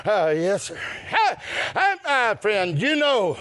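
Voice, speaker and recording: A Southern US man preaches fervently in a high voice that swings widely up and down. He takes his time, breaking the sermon into short chanted phrases and coming back to stock refrains. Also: big pitch swings, slow pace. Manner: chanting cadence with loud gasped breaths between short phrases.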